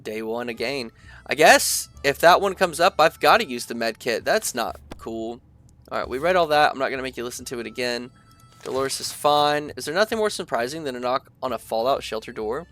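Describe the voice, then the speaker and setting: A young man, stuffy from allergies, talks through a survival game in a relaxed, chatty way, pausing often to think out loud. His pitch sits right in the middle of the male range. Faint music plays behind him. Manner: relaxed, chatty, thinks aloud with many fillers. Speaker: gaming video creator. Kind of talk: casual let's-play commentary, reading game text aloud.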